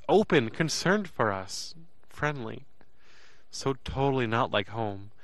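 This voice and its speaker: An actor playing an earnest, emotional young man, narrating an audio drama in the first person with deliberate, dramatic pauses. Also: medium pitch, fairly bright tone, no echo, natural voice. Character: earnest, emotional young man telling his escape story.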